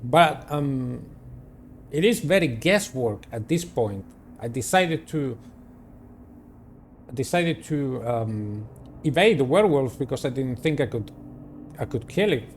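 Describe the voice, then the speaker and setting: A man hosting a game playthrough, reading the story aloud in a dramatic, deliberate way and adding his own commentary. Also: mid-range voice, animated, slight background noise. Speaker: gaming video host. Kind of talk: reading visual novel narration aloud with personal commentary.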